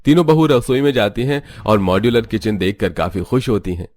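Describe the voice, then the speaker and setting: A man tells a scripted cartoon family story as narrator. His voice sits on the low side, and the sound is close and dry, free of reverb. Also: expressive, fairly quick, a little mellow.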